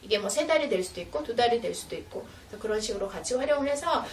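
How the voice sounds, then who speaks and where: A woman instructor giving an animated, explanatory demonstration for parents, recorded indoors at some distance from the mic. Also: a little high, very fast. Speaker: children's education instructor. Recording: roomy, distant mic, faint noise.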